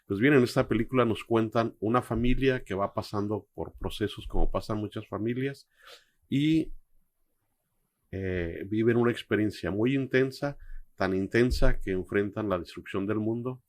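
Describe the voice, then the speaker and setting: A man giving reflective film commentary as a co-host, explaining things phrase by phrase in a measured way. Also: fairly low pitch, darkish tone, dry recording.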